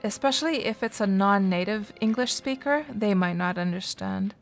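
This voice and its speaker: A woman's voice: medium pitch, moderate pace, vocal fry, soft background music. Chatty woman streaming a game. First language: Finnish. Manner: chatty, thinks aloud with many fillers and restarts. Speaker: game livestreamer. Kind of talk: casual livestream chat, answering viewers.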